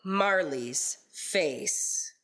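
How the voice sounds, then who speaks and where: A woman narrating a radio play in a measured, deliberate storytelling style. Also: sits low, expressive, fairly bright tone.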